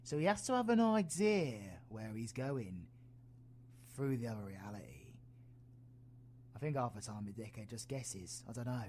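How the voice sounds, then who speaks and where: A British man playing a roguish, protective, gruff yet tender supervillain in an audio roleplay, husky and colloquial. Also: medium pitch, expressive, normal speed, a little mellow, slight background noise, close to an everyday voice. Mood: irritated, sardonic. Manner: sweary, teasing, colloquial. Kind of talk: audio roleplay, villain ASMR.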